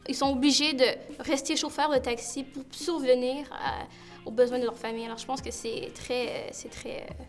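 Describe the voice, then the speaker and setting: A young woman from Canada, a student, answering in an interview in a hesitant, halting way. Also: high voice, level tone, soft background music. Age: young adult. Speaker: student panelist.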